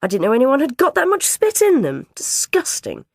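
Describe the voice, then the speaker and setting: A woman's voice: huge pitch swings, moderate pace, no echo, studio quality, heavily acted. A woman reading an audiobook, voicing a stern, self-righteous dinner lady who scolds children. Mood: scolding. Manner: shrill, bossy, self-righteous.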